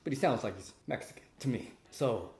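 A man with a mid-pitched voice gives casual interview answers in an echoey room. He speaks emphatically, often repeating a phrase to stress it. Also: lively intonation, fairly quick, vocal fry, darkish tone. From United States.

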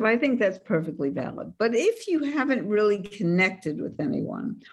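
A woman author answering interview questions with confident, emphatic advice. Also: medium pitch. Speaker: author and dating expert guest.

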